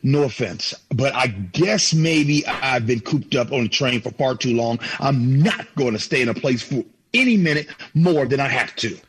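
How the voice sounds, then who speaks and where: A man's voice: medium pitch, expressive, normal speed, few pauses, husky. An actor playing a stubborn, exasperated 1950s family man in an old-time radio drama, full of folksy muttering and exclamations. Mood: incredulous.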